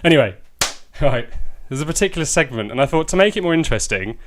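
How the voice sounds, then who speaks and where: A man's voice: on the high side, expressive, fast, a bit bright, slight background noise. A man hosting a business podcast, bantering with his co-host and reading a comic story aloud as narrator. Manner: jokey, teasing, reads narration with flourishes.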